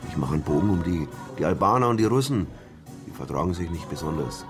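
An actor playing a sly, evasive nightclub manager in a radio drama. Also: male voice, sits low, animated, quick pace, over music. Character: evasive, smirking male nightclub manager in Czech border region. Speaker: club manager. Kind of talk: naturalistic radio drama acting.

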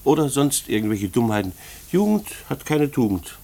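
A man's voice: mid-range voice, sing-song, normal speed, gravelly, dark tone. An actor playing a kindly, bashful rural postman in a German radio play, folksy and given to stammering, evasive phrases. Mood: awkward, reassuring.

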